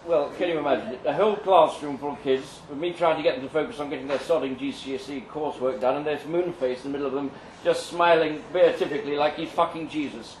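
A man's voice: on the high side, fast, few pauses, close to an everyday voice. A man voicing a bitter retired schoolteacher, a sweary curmudgeon ranting indignantly, recorded at a live reading. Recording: distant echoey live venue, background noise.

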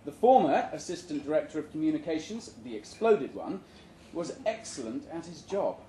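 Deadpan man reading with animated pitch, roomy venue. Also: normal speed. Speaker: reader of a short story at a live event. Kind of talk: live dramatic short story reading. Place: indoor room at a live literary event. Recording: roomy live venue recording with background noise.

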